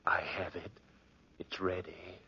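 Slow, low-voiced murderous madman, old radio. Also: flat delivery. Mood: brooding, fixated. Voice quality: breathy whispering delivery. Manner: slow, brooding, fixated, repeating phrases. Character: obsessed, guilt-haunted madman plotting murder at midnight. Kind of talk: old-time radio horror acting. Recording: dull, noisy old radio recording.

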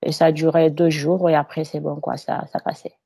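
A woman's voice: low-pitched, flat delivery, brisk, creaky, mellow, breathy edge, dry recording. A woman in her thirties, a hypnosis client on a video call, telling her personal story hesitantly with many fillers.

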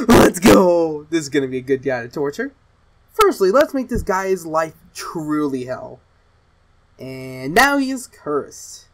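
A woman playing an excitable, mischievous gamer girl streamer who gleefully torments people in a livestream roleplay. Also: medium pitch. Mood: excited, gleeful.

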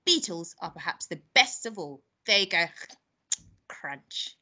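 A woman's voice: on the low side, lively intonation, slow, bright, forceful tone, full character voice. A woman voicing a wicked, snappish little old grandmother in a storybook, bossy, scolding and relishing nasty things. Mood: gloating.

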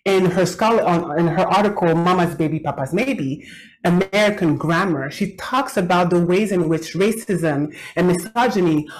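A middle-aged woman, French her first language, speaks on a webcam call from a home office. Her voice sits a little low, and she picks her words slowly, with restarts and fillers as she works through ideas. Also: low-quality recording. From Quebec. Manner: thoughtful, with frequent fillers and restarts. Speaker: academic discussant. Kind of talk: academic commentary on a book. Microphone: video call webcam.

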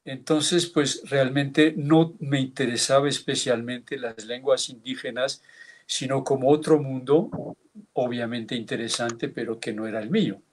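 A man's voice: mid-range voice, steady tone. Reflective older man recalling his past. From France. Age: 70s. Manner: reflective, measured, with small hesitations. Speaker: linguist giving a tribute speech. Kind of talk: formal reminiscence, partly read. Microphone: video call.

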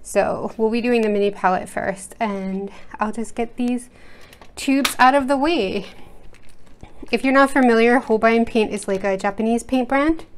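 A woman's voice: on the high side, unhurried. An American woman hosting an art video, chatting casually and rambling with lots of fillers and restarts while she works at her desk. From United States. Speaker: art channel host. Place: indoors at a home desk. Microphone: overhead camera setup, speaker off-screen.

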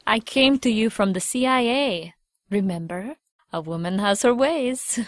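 A woman's voice: medium pitch, expressive, measured pace, dry recording, studio quality, lightly acted. A woman playing a confident, teasing ex-agent and operations chief in an audio drama, with a playful, coaxing manner. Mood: sly, persuasive.

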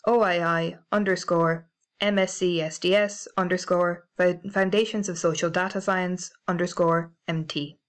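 A woman with a British accent narrating a library software tutorial, clear and methodical, spelling out codes letter by letter. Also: fairly low pitch, steady tone, measured pace. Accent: British English. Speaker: library staff trainer. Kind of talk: instructional screencast narration.

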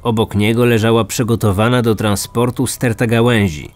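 A man's voice: lowish voice, steady tone, moderate pace. A man in his thirties hosting a true crime podcast with scripted narration. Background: music.

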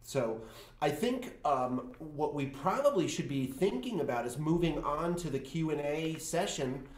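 A man's voice: a little high, lively intonation, a little slow, roomy sound. A man in his forties hosting a museum talk in a historic house parlor, explaining with emphasis. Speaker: museum host. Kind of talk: panel conversation.

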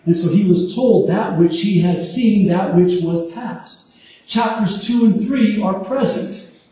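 A man preaching a sermon on prophecy whose first language is Arabic, speaking emphatically and at times rising to high exclamations. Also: slow, dark tone. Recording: echoey hall from far off.

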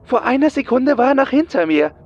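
A man's voice: very high-pitched, sing-song, a little fast, breathy edge, highs cut off, voice pushed up, full character voice. A man voicing an anxious female astronaut in a horror audiobook, urgent and panicky. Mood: panicked. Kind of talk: dramatised horror audiobook dialogue.

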